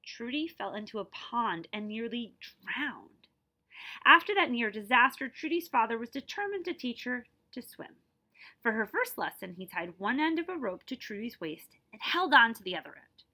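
A woman's voice: a little high, bright, forceful tone. A woman around her forties reading a children's picture book aloud expressively, stretching key words and adding explanatory asides. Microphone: device camera at about arm's length.

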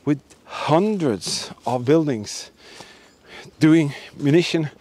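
A man's voice: a little high, slow pace, a little mellow. A man hosting a history exploration video, narrating to camera as he walks through a forest with an emphatic, repetitive way of talking. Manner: enthusiastic, emphatic, repeats words. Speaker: host of history exploring channel. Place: outdoors in a forest and ruined buildings.

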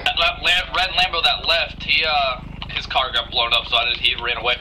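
A man in an online police roleplay, giving halting radio reports with hesitations. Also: mid-range voice, little pitch movement, noisy background.

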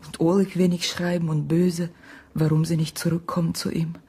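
An actress playing a gentle, weary Ukrainian immigrant woman in a radio drama, speaking halting, broken German in a husky voice. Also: fairly low pitch, level tone. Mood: sorrowful, confiding. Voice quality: husky, breathy voice. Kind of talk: naturalistic radio drama acting.

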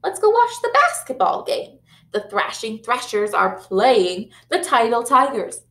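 A woman voicing a gentle mother shark in a picture-book read-aloud, soothing and reassuring, recorded in a roomy classroom. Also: fairly high pitch, big pitch swings, a little slow, full character voice.

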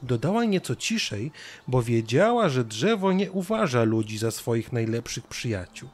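A man narrating a scripted children's story as an expressive storyteller. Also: mid-range voice, normal speed.

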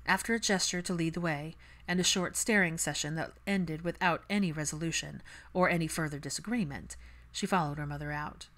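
A woman's voice: low-pitched, a little fast, a bit bright. A woman giving a scripted audiobook narration of fan fiction.

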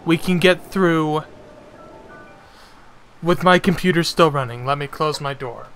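A young man with a stuffy nose giving slow, halting casual commentary on a game from his bedroom. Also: fairly high pitch, fairly bright tone, faint music behind. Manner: slow, halting, self-correcting chatter. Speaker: gaming channel host. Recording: noisy home recording.

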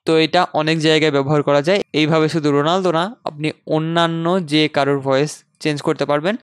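A man hosting a casual software tutorial, conversational and dropping in English terms. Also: a little high, flat delivery, fast, smooth, no room sound, clean studio sound. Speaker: tutorial host. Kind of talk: casual software tutorial screen-recording narration.

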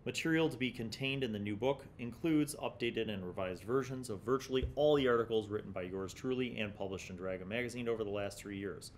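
A man's voice: on the low side, fairly quick, few pauses, slight background noise. A middle-aged man hosting a review series, reading aloud and commenting in a casual, musing, conversational way. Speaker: host of a gaming magazine review series. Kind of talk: casual commentary with passages read aloud from an article. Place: small indoor studio set with shelves. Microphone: condenser mic on the desk in front of him.

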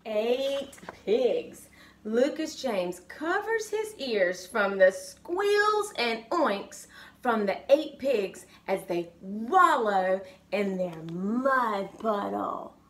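A middle-aged woman, a grandmother, reading a picture book aloud to her grandchild in a living room, slow and gentle, livening up the character lines. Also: a little high, sing-song. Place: living room couch, indoors. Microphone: phone or webcam in front of the couch.

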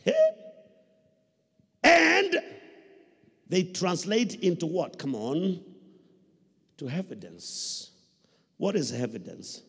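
Husky Kenyan preacher man in a reverberant hall. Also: medium pitch, fairly bright tone. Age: middle-aged. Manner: emphatic, repeats phrases, prompts listeners with questions. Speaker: pastor preaching. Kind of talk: sermon with call-and-response questions. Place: large church hall, indoors. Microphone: handheld microphone.

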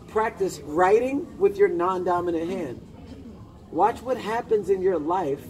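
A man's voice: high-pitched, darkish tone. A man in his thirties giving informal motivational coaching to a small group, emphatic and directive, with short commands and questions. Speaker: personal development coach. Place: indoor lounge, seated among a small group. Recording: noisy room with ambient hum.

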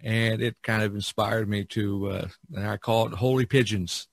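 A middle-aged or older man, a painter and podcast host, talking casually about art in a halting, searching way. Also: on the low side, steady tone, creaky, no echo, studio quality.

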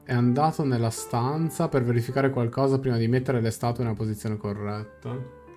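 A man's voice: mid-range voice, steady tone, brisk, a little mellow. A man in his twenties with an Italian accent, giving casual live game reactions and translations. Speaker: game streamer. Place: small room at home. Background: faint game music.

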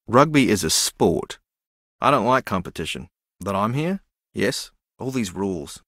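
A man's voice: fairly low pitch, a bit bright, no echo, clean studio sound, heavily acted. An actor playing a dreamy, easily confused young man in a video game, earnest, naive and meandering as he muses. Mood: philosophical.

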